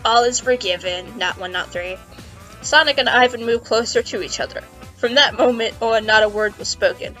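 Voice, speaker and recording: Female comedy narrator, fairly high voice, playful dramatic reading, casual delivery over background music. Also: moderate pace, a bit bright. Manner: amused, playful, giggly. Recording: music behind the voice.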